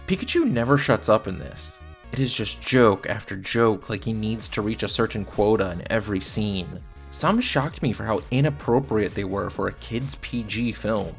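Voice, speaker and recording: Blunt low-voiced man, creaky edge. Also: animated, moderate pace, faint music behind. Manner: blunt, sardonic. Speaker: video film reviewer. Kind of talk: scripted video essay commentary.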